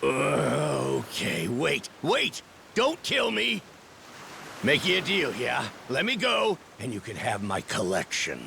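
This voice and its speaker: A cornered, cowardly pirate captain in a game cutscene, bargaining in a creaky, forceful voice. He drags his words out haltingly as he pleads, with faint noise behind him. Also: medium pitch, wildly swooping pitch, heavily acted. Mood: panicked pleading. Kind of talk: video game cutscene acting.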